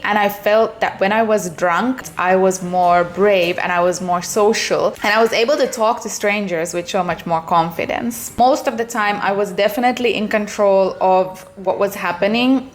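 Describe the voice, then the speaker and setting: A woman's voice: medium pitch, a little hiss or hum. A young adult woman from Finland, telling a personal story in a candid, earnest vlog. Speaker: vlogger.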